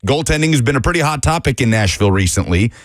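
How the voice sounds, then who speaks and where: A male sports radio analyst talking into a close studio microphone. His voice sits at an ordinary middle pitch, and the sound is dry with no room to it. He is brisk and detailed and rattles off records and statistics. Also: animated, dry recording.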